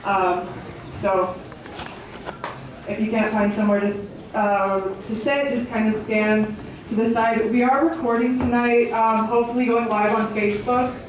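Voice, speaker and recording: A woman is welcoming people to a gathering as its host. Her delivery is halting and unscripted, with little rise and fall in pitch. The room echoes heavily, and she sounds some way from the mic with faint noise around her. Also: little pitch movement, distant mic. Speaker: event host, organisation director. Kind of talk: informal welcome speech to a gathering. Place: public meeting in an echoey indoor venue.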